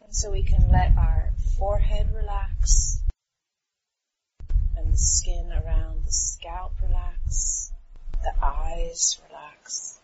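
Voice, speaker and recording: A woman meditation teacher guiding a relaxation in a soothing, unhurried manner with trailing pauses. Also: on the low side, steady tone. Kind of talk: guided meditation. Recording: dull, muffled recording with clear background noise.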